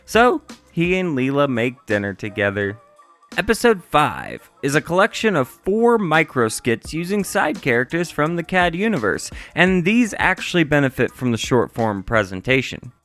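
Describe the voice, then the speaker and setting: A man's voice: on the high side, big pitch swings, normal speed, fairly bright tone, soft background music. A man narrating a scripted review as the reviewer.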